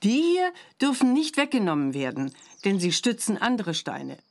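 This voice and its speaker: A kindly, slightly flustered witch mum from a cartoon game. Her medium-pitched voice is lively and motherly as she explains. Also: normal speed.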